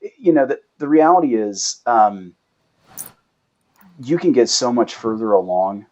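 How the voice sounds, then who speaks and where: Male founder as interview guest, low slightly rough voice, explanatory, checks in with rhetorical questions, muffled lo-fi sound. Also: expressive, unhurried, a little mellow. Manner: uses rhetorical questions and tag "right?". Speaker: startup founder and chief executive, guest.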